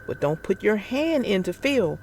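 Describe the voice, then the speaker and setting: A narrator reading a children's story aloud in an expressive, theatrical and deliberate way. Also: mid-range voice, slight background noise. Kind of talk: expressive scripted read-aloud for young children.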